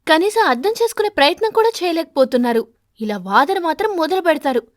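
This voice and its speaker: A woman voicing an indignant wife pigeon in an animated story, scolding and firing accusing questions. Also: very high voice, fast, dry recording, clean studio sound, pitched above the performer's own voice.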